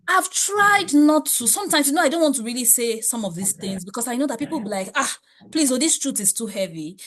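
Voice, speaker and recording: A woman's voice: sits high, a bit bright, slight background noise, poor audio. Emphatic woman coaching a class. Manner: emphatic, preachy, rhetorical questions. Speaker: wealth coach. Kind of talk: motivational class lecture.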